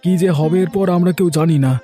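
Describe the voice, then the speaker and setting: A man's voice: high voice, steady tone, a little fast, dark tone, faint music behind, lightly acted. A man voicing a worried village husband in a cartoon, gloomy and brooding. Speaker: cartoon character.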